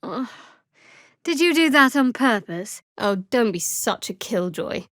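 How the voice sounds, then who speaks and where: An actress playing a guarded, wry young female cleric in a fantasy video game, speaking in a cool, dry, measured way. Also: fairly high pitch, big pitch swings, fairly bright tone, no room sound. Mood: dry, teasing. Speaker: video game companion character. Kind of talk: scripted fantasy game voice acting.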